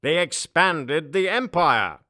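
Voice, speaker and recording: A man's voice: fairly high pitch, lively intonation, slow, bright, forceful tone, pitched above the performer's own voice, full character voice. A man voicing a haughty, scheming alien emperor in an audiobook, imperious and condescending, grandiose with jovial menace. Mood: amused, boastful. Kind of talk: audiobook character voice.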